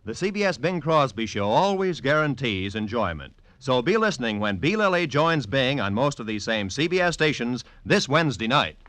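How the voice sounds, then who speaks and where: A man's voice: medium pitch, sing-song, normal speed, hardly any pauses, a bit bright, slight background noise. An American man announcing in a polished, promotional 1940s network radio style.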